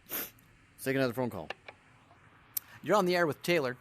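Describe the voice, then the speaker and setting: A man's voice: medium pitch, animated, normal speed, slight background noise. A man doing casual, off-the-cuff gameplay commentary while playing a radio DJ. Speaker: gamer, video host playing a radio DJ.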